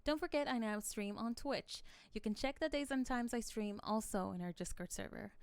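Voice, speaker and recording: A woman's voice: fairly high pitch, normal speed, clear, resonant tone, dry recording. A woman speaking as a channel host, giving a scripted sign-off straight to her listeners.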